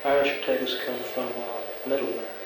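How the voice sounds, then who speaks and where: A man answering questions in a relaxed back-and-forth, slipping in dry jokes. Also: mid-range voice, quick pace. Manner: dry joking asides. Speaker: interviewee. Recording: echoey, distant, noisy recording.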